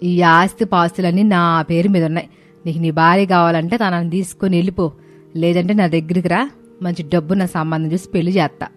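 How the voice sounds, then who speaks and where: A woman's voice: fairly low pitch, fairly even intonation, a little fast, soft background music, lightly acted. A woman voicing a haughty rich middle-aged mother-in-law in a story, sarcastic and condescending. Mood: domineering.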